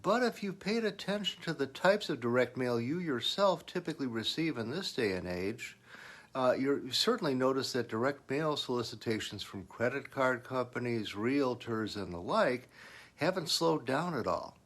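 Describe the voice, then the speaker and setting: A man with a medium-pitched voice narrates a business lesson. He follows a semi-scripted explanation in an instructional manner, leaning on the key points as he goes. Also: lively intonation, normal speed, a bit bright. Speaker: business advice presenter.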